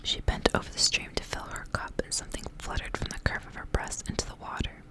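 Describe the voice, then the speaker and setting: A woman reading aloud in a soft, breathy, unhurried whisper, in the style of an ASMR storyteller.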